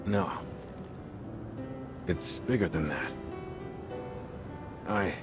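A deep, unhurried weary man seeking redemption in a video game drama. He is grave and reflective, gently resolute, weighing each phrase with care. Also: music in the background. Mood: reflective, earnest. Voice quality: low, measured. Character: weary, remorseful former director turned into a clone, gently resolute.

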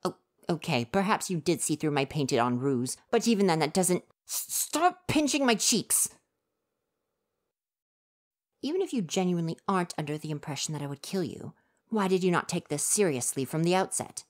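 A woman's voice: lowish voice, lively intonation, a little fast, natural voice. A woman voicing a young male would-be ninja in an ASMR roleplay, defensive and flustered but earnest underneath.

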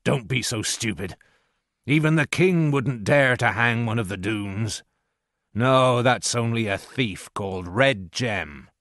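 A man's voice: mid-range voice, slow pace, a bit bright, dry recording, clean studio sound. Man doing a guarded, blunt country manservant. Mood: scornful. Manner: guarded, curt. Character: evasive, blunt male servant from the countryside. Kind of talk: acted audiobook dialogue.

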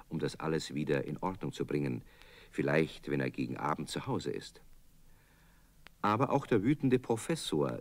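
A man's voice: rumbling low, darkish tone, no echo. A man narrating a children's radio play as a scripted storyteller.

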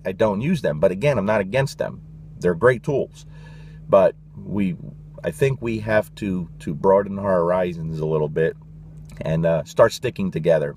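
A man's voice: deep voice, a little mellow, slight background noise. A middle-aged man, a dog trainer, talking straight to camera in a passionate, blunt vlog rant, swearing casually and peppering his talk with "you know" and "guys".

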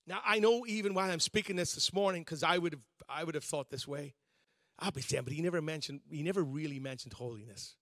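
A pastor in his fifties preaches with a bright, lifted voice that sits high for a man. He talks to the congregation in a loose, conversational way, restarting his sentences and joking at his own expense. The sound is dry and close. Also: fast talker, dry recording. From Northern Ireland. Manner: conversational, self-deprecating preaching with frequent restarts. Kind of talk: sermon.

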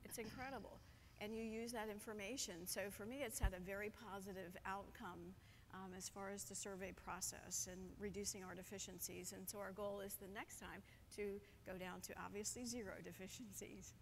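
A middle-aged woman, speaking as a conference panelist in a measured way with frequent pauses, on a lapel mic. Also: mid-range voice, steady tone, slight background noise. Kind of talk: panel discussion. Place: conference stage.

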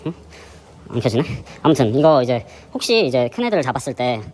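A man with a hoarse voice from a sore throat, hosting a fishing channel and chatting through his gear in a casual, explanatory way. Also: medium pitch, very animated, brisk, darkish tone. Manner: chatty, explanatory, many fillers. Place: indoor gym.

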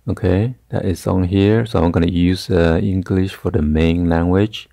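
A man giving a casual hands-on tech tutorial in a halting, hesitant way. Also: low-pitched, steady tone, gravelly, warm tone, no room sound, studio quality. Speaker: tutorial host. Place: carpeted room indoors.

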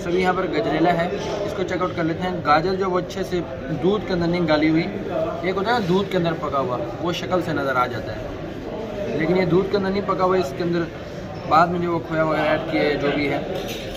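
A man's voice: fairly high pitch, moderate pace, poor audio. A young man with a Pakistani accent casually reviewing food as a vlogger, informally mixing in English words. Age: young adult. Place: large indoor restaurant. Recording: loud restaurant chatter behind the voice. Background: busy crowd chatter.

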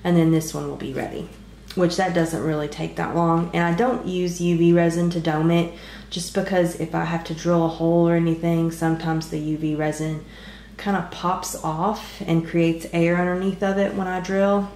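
Congested low-voiced woman in an echoey room. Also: normal speed, few pauses, slight background noise. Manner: relaxed, rambling, many "um"s. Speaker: resin craft vlogger. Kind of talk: casual show-and-tell narration. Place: home craft table.

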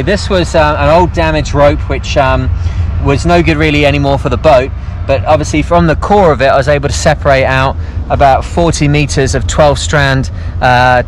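A man's voice: mid-range voice, slight background noise. A man in his forties, a sailing vlogger, rambling casually through a tour of boat jobs with many ums, filmed on an action camera at arm's length outdoors in a marina. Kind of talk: casual walkthrough vlog. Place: outdoors on a yacht deck in a marina. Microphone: action camera held at arm's length.

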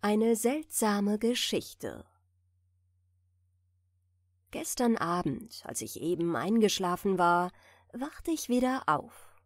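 Woman, soft soothing storyteller, audiobook reading, very slow pace, dry close recording. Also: mid-range voice, sing-song, dry recording. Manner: gentle, soothing, unhurried delivery. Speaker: audiobook narrator.

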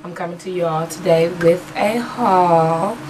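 A woman talks at a webcam in a small room at home, her voice hoarse and low. She rambles through a beauty haul with plenty of fillers. The recording has a clear hiss of background noise. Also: a little slow. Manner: chatty, rambling with many fillers. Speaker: beauty vlogger. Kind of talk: casual haul video chat. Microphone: webcam in front of her.

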